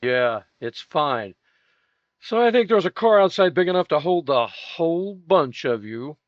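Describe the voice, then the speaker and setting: A man's voice: on the high side, animated, measured pace, no echo, dull audio. A man playing a wry, unruffled police lieutenant in a 1950s-style radio drama, with a tough, casual delivery. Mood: matter-of-fact.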